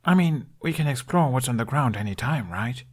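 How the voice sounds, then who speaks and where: An earnest young storybook prince, acted out by a man, dreamy and hopeful. His words come eagerly, as if he is searching for the next one, and his pitch sits a little above the narrator's low register. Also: expressive, brisk, lightly acted. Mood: excited.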